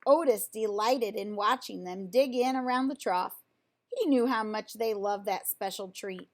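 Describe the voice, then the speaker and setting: A woman reading a children's storybook aloud in an expressive, emphatic storytelling way. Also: medium pitch, unhurried. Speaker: storybook reader.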